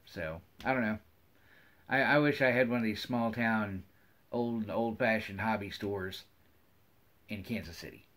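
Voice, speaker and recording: A man's voice: lowish voice, unhurried, pauses often, a bit bright. A middle-aged man with an American accent chats casually to viewers as a hobby vlogger, rambling in a folksy way and often repeating his phrases. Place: wood-paneled room at home, indoors.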